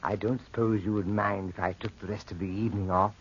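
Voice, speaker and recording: An actor playing an obsequious, coaxing household servant with a husky voice in an old-time radio drama. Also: male voice, lowish voice, fairly even intonation, fast talker, slight background noise, close to an everyday voice. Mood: deferential, wheedling. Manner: deferential, coaxing. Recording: muffled vintage radio recording.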